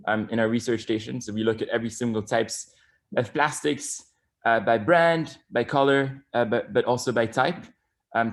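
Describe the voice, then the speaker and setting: Man, twenties, from Bali, interview guest, eager, halting with ums, mid-pitched. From Bali, Indonesia. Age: 20s. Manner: eager, many ums and restarts. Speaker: guest environmentalist. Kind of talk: casual interview talk.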